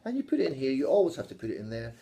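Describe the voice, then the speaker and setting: Scottish male teacher, mellow tone, lively pitch, playful and teasing, casual video lesson. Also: rapid-fire. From Scotland. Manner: playful, teasing, jokey asides. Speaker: English teacher.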